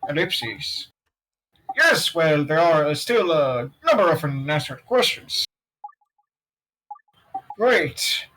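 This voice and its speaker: Woman voicing a polite, measured detective-game colleague. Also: sits low, lively intonation, a bit bright, a little hiss or hum, pitched below the performer's own voice, full character voice, rough recording. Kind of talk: voiced game dialogue.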